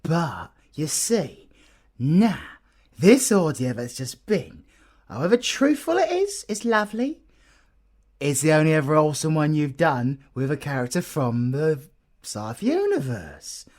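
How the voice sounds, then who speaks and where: A man's voice: on the high side, huge pitch swings, unhurried, pauses often, darkish tone, voice pushed up, heavily acted. A British man voicing a flirty, egotistical, caffeine-wired supervillain in an audio roleplay, boastful and cheeky. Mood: cheeky, persuading. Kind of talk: audio roleplay character acting.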